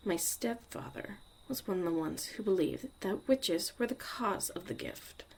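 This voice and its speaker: A woman playing a young witch mother, a tough, protective and caring survivor, in an intimate, halting, confiding ASMR roleplay monologue. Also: mid-range voice, lively intonation, gravelly, darkish tone. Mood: bitter, wry.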